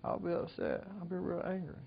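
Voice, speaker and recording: A man in his twenties speaking casually and haltingly in a news interview. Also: sits high, very animated, gravelly, a crowd in the background. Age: 20s. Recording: dull, muffled audio.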